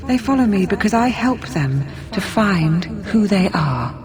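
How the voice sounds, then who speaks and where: An actress playing an ancient, dignified forest spirit in a video game, speaking in a calm, stately and formal way. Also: female voice, medium pitch, unhurried, slight background noise. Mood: calm, challenging.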